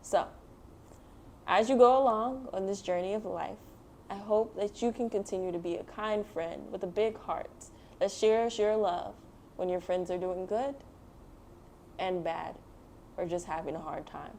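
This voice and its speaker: A woman's voice: medium pitch, measured pace, darkish tone, slight background noise. A woman hosting a children's read-aloud, explaining things simply and encouragingly to young listeners. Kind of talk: picture book read-aloud and talk to children.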